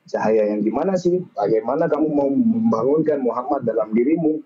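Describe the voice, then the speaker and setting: A man's voice: medium pitch, fairly quick, slight background noise. A man from Malaysia explaining his views in an informal religious discussion, in an agreeable, reflective way. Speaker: discussion participant. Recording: dull lo-fi call-like audio.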